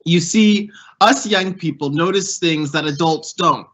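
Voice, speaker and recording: A man's voice: on the high side, unhurried, fairly bright tone. A young man giving an earnest prepared speech and then interviewing a guest over a video call, lifting his voice on each item of a list. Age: young adult. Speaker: youth program fellow hosting a panel.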